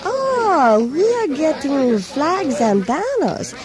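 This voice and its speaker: A woman's voice: sits high, sing-song, slow pace, full character voice. An actress playing a young woman student protester, blunt and indignant, in a radio drama interview. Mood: indignant, scornful. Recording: crowd noise behind the voice.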